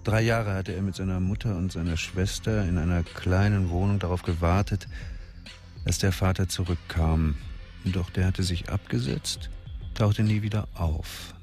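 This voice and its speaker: A man narrating a radio crime drama in a measured, detached way. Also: low-pitched, fairly even intonation, darkish tone, soft background music.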